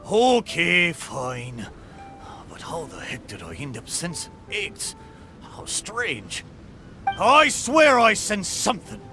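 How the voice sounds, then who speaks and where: Theatrical, bright-voiced seafaring ship spirit. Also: male voice, sits high, huge pitch swings, slow, faint music behind, heavily acted. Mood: puzzled. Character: talking ship spirit, hearty old seafarer, wistful, good-natured. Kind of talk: scripted video game character dialogue.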